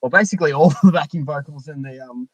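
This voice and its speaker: A young man hosting a casual live stream chat in a halting, hesitant way. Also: on the high side, no echo. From Australia. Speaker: live stream host and fan-dub project leader.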